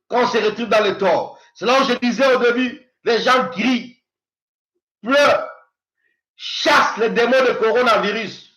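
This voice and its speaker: A man in his fifties preaching a sermon as pastor, swinging from loud exhortation to hushed prayer. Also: very high voice, reverberant, low-quality recording. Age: 50s.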